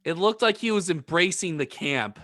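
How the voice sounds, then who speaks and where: Bright, higher-pitched man joking casually. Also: animated, moderate pace, no echo, studio quality. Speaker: podcast host. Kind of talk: casual chat.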